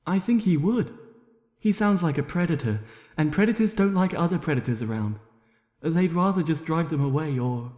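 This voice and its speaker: A man voicing a shy, gentle young female pony with a hesitant, soft-spoken manner in an amateur story reading. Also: fairly high pitch, animated, moderate pace, natural voice. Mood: timid concern. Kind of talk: amateur fan fiction audiobook character voice. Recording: echoey room with long reverb.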